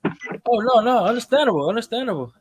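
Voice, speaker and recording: A man from Miami with a notably high voice for a man. He co-hosts loosely, dropping slang and jumping in with quick laughing asides. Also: animated, a little mellow, breathy edge. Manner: laughing, eager interjections, street slang. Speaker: co-host. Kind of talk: casual podcast chat.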